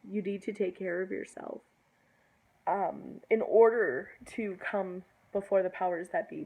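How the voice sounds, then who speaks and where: A young woman with a hoarse voice from a cold, talking earnestly in a casual vlog. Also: mid-range voice, poor audio. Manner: earnest, lots of ums and restarts. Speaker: pagan vlogger.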